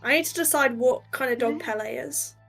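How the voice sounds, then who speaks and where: A woman's voice: high voice, a little fast, vocal fry, music in the background. A woman chatting casually as a player in a tabletop role-playing game. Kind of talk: casual chat.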